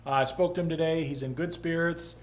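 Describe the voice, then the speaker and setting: Man, police chief, calm, matter-of-fact, slightly high voice, faint hum. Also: a little fast. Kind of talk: update at council meeting.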